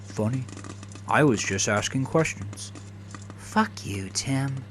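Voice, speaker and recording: A man's voice: medium pitch, animated, slow pace, a little hiss or hum, pitched above the performer's own voice. A man voicing a bitter, sarcastic and volatile young woman in a dramatic audio story, with profane, biting delivery. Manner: sarcastic, profane, shouting when cornered.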